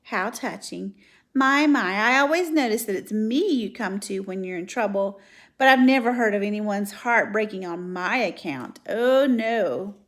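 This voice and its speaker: A woman's voice: mid-range voice, lively intonation, a little slow, natural voice. A woman voicing a lazy, selfish male rat who grumbles and mocks sarcastically. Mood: sarcastic.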